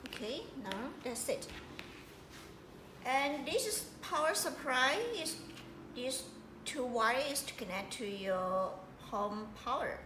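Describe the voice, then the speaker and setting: Woman doing a step by step install demo, stop and start phrasing, roomy echo, clear noise behind her. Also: on the high side, lively intonation. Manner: halting, piecemeal phrasing with repetition. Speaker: product support presenter. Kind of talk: hands-on product installation tutorial. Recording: echoey room with clear background noise.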